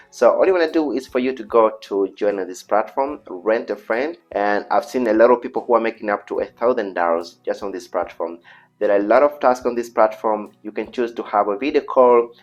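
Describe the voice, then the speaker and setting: A man's voice: on the low side, a little fast. A man with a Tanzanian accent, a native Swahili speaker, presenting an informal tutorial in an earnest, repetitive, persuasive way. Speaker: online video host. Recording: dull lo-fi voice recording with music. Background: background music.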